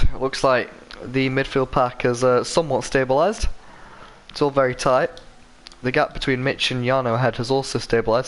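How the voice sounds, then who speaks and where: A man calls an online racing league live, his voice a little croaky from a sore throat. He talks at a middling pitch, rambles between moments of action and corrects himself often, filling the gaps with ums and uhs. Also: fairly bright tone. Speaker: race commentator. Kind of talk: live sim-racing commentary.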